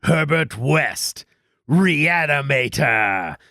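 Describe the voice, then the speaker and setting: An actor playing a gloating, theatrical host of horror tales in an audio drama introduction. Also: male voice, fairly high pitch, lively intonation, drawn-out words, creaky, very noisy, heavily acted. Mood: gloating menace. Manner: theatrical, taunting. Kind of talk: old-time horror anthology introduction. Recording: voice under loud music.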